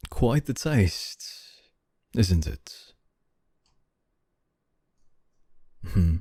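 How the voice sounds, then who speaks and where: A man playing a suave, dominant, flirtatious CEO in an intimate ASMR roleplay, speaking in a teasing, deliberate way. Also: warm tone, no echo, clean studio sound. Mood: smooth, flirtatious. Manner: slow, teasing, deliberate, with low chuckles. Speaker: ASMR voice actor.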